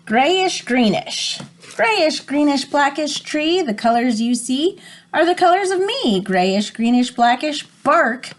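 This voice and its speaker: A woman's voice: high voice, big pitch swings, slow pace, exaggerated voice. A woman voicing a nervous, easily panicked little tree frog reading rhyming storybook verse with dramatic exclamations. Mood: cautious.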